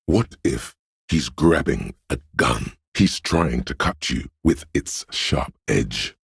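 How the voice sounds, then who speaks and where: Super deep husky paranoid inner voice for a video game, slow n ominous, like raw fear and menace talking. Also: male voice, expressive, dry recording, clean studio sound, exaggerated voice. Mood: menacing, fearful. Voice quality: gravelly, husky, breathy. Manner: slow, ominous, intense delivery. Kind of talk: dramatic video game voice acting.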